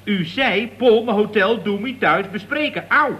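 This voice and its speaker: An actor playing an eager, chatty and guileless office underling in a comic radio serial. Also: male voice, high-pitched, unhurried, bright, forceful tone. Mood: obliging, explaining. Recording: old radio recording with faint noise.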